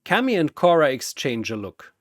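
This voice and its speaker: A man narrating a scripted fantasy battle story dramatically, with theatrical, deliberate phrasing. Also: on the high side, sing-song, no room sound, studio quality.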